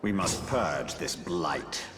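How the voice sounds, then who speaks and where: Very deep, solemn wraith lord. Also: male voice, animated, takes it slowly, a little hiss or hum, heavily acted. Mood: solemn resolve. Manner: solemn, formal, commanding. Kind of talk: fantasy video game acting. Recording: loud music behind the voice.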